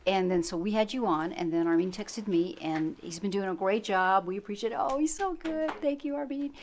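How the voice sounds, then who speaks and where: A woman's voice: medium pitch, a little fast, darkish tone. A woman hosting a casual political talk show, chatty and friendly with her guests. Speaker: show host.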